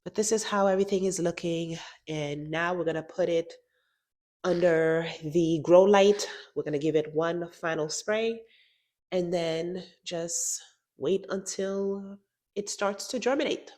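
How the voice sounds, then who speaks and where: A woman's voice: on the low side, measured pace, darkish tone. A woman with a stuffy nose from a cold, chatting casually through a gardening how-to vlog and saying "y'all" often. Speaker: gardening vlogger.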